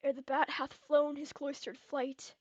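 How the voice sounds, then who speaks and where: A young woman acting a brooding, tormented, murder-plotting king in Shakespearean verse, moving between hushed and declamatory delivery. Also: high voice, flat delivery, unhurried, dry recording, lo-fi sound. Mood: brooding, secretive. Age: young adult.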